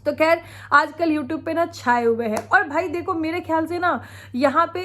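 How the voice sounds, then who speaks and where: A woman's voice: very high-pitched, moderate pace, fairly bright tone, slight background noise. A woman vlogger, chatting opinionatedly about other creators while she has a sore throat from a cold and laughs often. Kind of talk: casual reaction vlog commentary.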